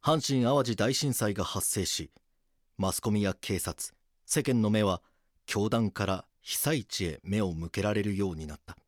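Male actor as stern detective, lowish male voice, dry close sound, measured, serious, drama narration. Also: no echo, natural voice. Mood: grave. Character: stern male police detective narrating, gruffly tender husband. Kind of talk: scripted documentary-drama narration and acting.

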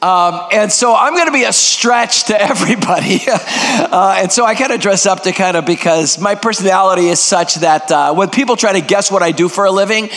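Older man, guest preacher, loud and energetic, high voice, long church-hall reverb. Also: brisk. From Greek heritage. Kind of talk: energetic sermon with jokes. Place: large church sanctuary.

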